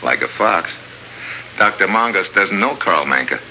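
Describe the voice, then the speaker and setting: Deep-voiced, hard-boiled American agent. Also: male voice, animated, a little slow, sharp tone, heavily acted. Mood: sly, confident. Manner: wry, clipped, hard-boiled. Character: tough, wisecracking American male secret agent. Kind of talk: 1950s radio adventure drama acting. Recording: noisy old radio drama recording.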